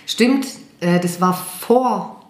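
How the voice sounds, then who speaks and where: A woman's voice: mid-range voice, extremely slow, background noise. A German woman singer, chatting in an interview, hesitant and self-deprecating with many fillers. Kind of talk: casual interview chat. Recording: echoey room.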